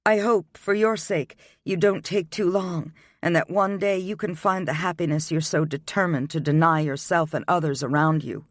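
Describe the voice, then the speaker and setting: Guy putting on a hurt young woman, pregnant, tearful and proud, voice pushed up high and thin, dry sound. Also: fairly even intonation, normal speed, few pauses, dry recording, lightly acted. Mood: resolved. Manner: tearful, pleading, then quietly resolved.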